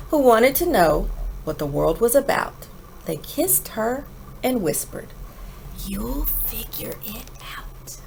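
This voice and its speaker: A woman reading a children's picture book aloud in an expressive, animated way with dramatic emphasis. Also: on the high side, creaky, a little hiss or hum, low-quality recording.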